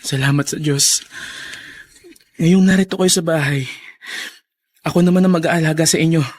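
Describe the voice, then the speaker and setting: A Filipino man playing a devoted, emotional son in a radio drama, husky and earnest. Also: on the high side, steady tone, moderate pace, lightly acted. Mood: caring, concerned. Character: devoted, emotional son shocked by his father's confession. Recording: music under the voice.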